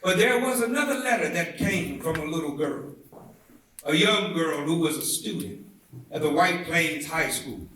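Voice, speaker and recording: High-pitched man orating in an echoey hall. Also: lively intonation, pushed and bright, slight background noise. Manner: oratorical, deliberate, measured phrasing with emphatic swells. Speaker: reader at a commemorative event. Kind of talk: dramatic reading of a historic civil rights speech. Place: indoor theatre event, heard from a distance. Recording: echoey indoor hall, far from the mic.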